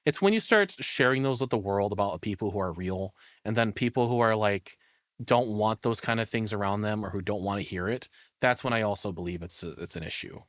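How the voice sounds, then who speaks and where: A man's voice: on the low side, a little fast, fairly bright tone, no echo, clean studio sound. A man giving casual live reaction commentary as a streamer, blunt and profane, rambling through his opinions as he thinks aloud.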